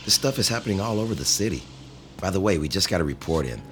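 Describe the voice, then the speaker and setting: An actor playing a matter-of-fact police officer reporting news in a radio drama. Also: male voice, deep voice, expressive, fast, loud music behind the voice.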